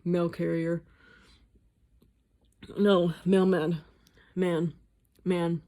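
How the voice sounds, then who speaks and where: A woman's voice: on the low side, little pitch movement, very slow, dark tone. A woman vlogging at home in a casual, rambling chat, swearing freely and mocking herself. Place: indoors at home.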